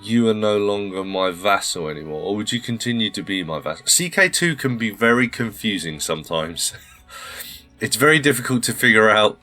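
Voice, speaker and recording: Deep, bright-toned gaming host. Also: male voice, animated, moderate pace, faint music behind. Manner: polite, formal greetings, thinking aloud. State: slightly under the weather. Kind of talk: casual let's-play commentary.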